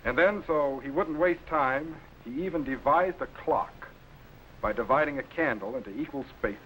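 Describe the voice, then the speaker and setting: An actor playing an authoritative space captain and mentor, formal and didactic, in 1950s children's television style. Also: male voice, mid-range voice, moderate pace, fairly bright tone, lightly acted, poor audio. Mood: earnest, admiring. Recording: vintage TV film soundtrack, faint noise.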